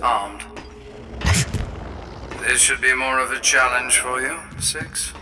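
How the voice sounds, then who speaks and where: An actor playing a cold, fascinated scientist who muses slowly and menacingly over his test subject in a video game. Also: medium pitch, steady tone, sharp tone, recorded from a distance, a little hiss or hum, full character voice. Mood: clinical, intrigued. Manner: slow, deliberate, menacingly musing. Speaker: research scientist. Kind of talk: cinematic video game acting.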